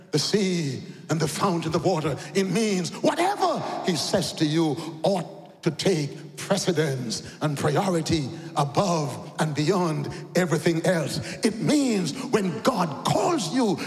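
Preacher guy shouting and singing his lines, slow, big church reverb. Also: on the high side. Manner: shouting, sing-song preaching with dramatic rises and falls. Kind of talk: fiery sermon. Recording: echoey hall with long reverb.

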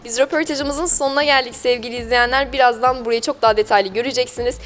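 Fast-talking, higher-voiced woman TV host. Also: fairly even intonation, a bit bright. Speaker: TV programme host interviewing business owners. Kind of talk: upbeat local TV interview presenting. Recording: music behind the voice. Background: background music.

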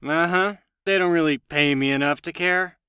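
An actor playing a bored, snide, underpaid store cashier in a visual novel game. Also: male voice, fairly high pitch, normal speed, pushed and bright, clean tone, no echo, studio quality.

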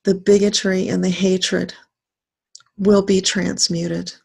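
Slow, creaky-voiced woman guiding meditation. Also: mid-range voice, little pitch movement, a little mellow. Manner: deliberate short phrases with long pauses, solemn. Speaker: spiritual teacher leading a guided meditation. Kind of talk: guided meditation, spiritual message.

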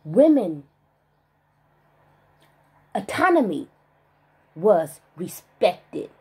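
A woman vlogger in a spiritual rant monologue, emphatic and profane, stressing single words with long pauses. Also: medium pitch, sing-song, mellow, slightly rough.

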